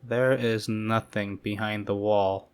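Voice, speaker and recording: A man giving calm, measured, scripted narration of creepy first-person stories. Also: on the low side, clean tone. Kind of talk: scripted horror story narration. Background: faint eerie music.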